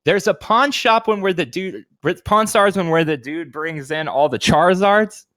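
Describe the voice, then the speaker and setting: A man's voice: sits high, normal speed, fairly bright tone, no echo. A man co-hosting a casual podcast, chatting off the cuff in loose, slangy language. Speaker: podcast co-host.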